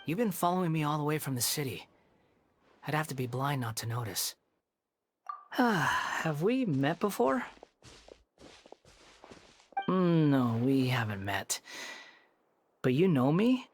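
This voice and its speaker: A man voicing a polite, guileless young wanderer, soft-spoken and unassuming, in a video game. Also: a little high, very animated, a little slow, pauses often, slight background noise. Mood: puzzled, polite.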